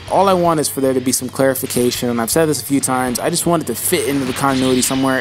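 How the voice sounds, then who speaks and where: Rambling young guy losing his voice. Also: medium pitch. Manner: rambling and slangy, calls viewers bro. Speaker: YouTuber answering viewer questions. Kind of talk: casual Q&A vlog.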